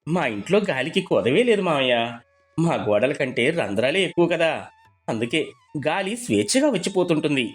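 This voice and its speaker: Man acting a lively, good-humoured poor villager. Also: a little high, normal speed, soft background music. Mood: wry. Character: poor good-humoured village father, welcoming and self-deprecating. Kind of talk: acted moral storybook dialogue.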